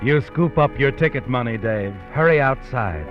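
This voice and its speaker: A man's voice: medium pitch, expressive, normal speed, lightly acted. An actor voicing an ominous male narrator who speaks to the hero in brooding second-person narration, in a 1950s radio drama. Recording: lo-fi 1950s radio recording with music under.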